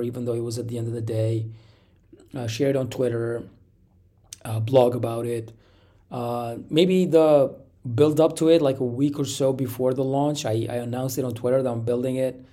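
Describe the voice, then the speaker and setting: A man with a raspy voice from a cold, coughing now and then, giving a rambling casual update to his viewers. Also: medium pitch, moderate pace, warm tone. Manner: rambling, many fillers and restarts. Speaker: startup founder.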